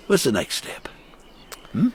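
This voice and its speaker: An actor in a radio drama playing a boisterous, teasing farmer who is drunk, slurring and halting as he repeats himself. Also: slight background noise.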